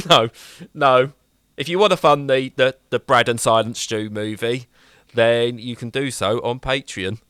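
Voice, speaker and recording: An English man co-hosting a gaming podcast, bantering in an animated, joking way with lots of quick restarts. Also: mid-range voice, clean tone, no room sound. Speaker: podcast co-host. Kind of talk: casual banter.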